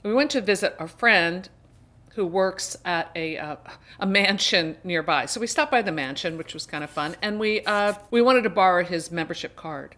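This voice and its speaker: A woman's voice: on the low side, normal speed, fairly bright tone. A middle-aged woman chatting casually to her viewers as a book vlogger, rambling along with emphatic turns of phrase. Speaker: book reviewer and video host.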